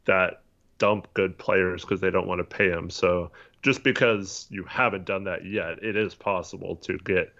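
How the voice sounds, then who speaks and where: A man co-hosting a casual sports chat, measured and teasing, hedging his points. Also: sits low, fairly even intonation, bright, forceful tone, dull audio. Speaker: podcast co-host.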